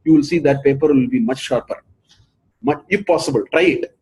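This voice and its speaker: A man's voice: medium pitch, brisk, a little mellow, slightly rough. A middle-aged man with an Indian accent giving an earnest lecture, repeating his points for emphasis. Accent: Indian English. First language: not English. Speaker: professor giving a research talk.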